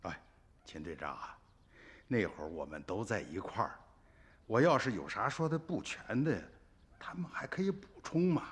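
An older man playing an old farmer grandfather in a radio drama, recounting his family's story in a folksy, unhurried way. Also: on the low side, lively intonation, lightly acted. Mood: weary, placating.

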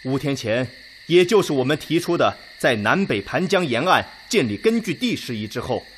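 Actor as a lively-voiced, deliberate army commander. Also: male voice, mid-range voice, slight background noise, lightly acted. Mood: grave, measured. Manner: measured, deliberate, consultative. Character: weighty, considerate Red Army commander chairing a council. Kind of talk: Chinese radio drama acting.

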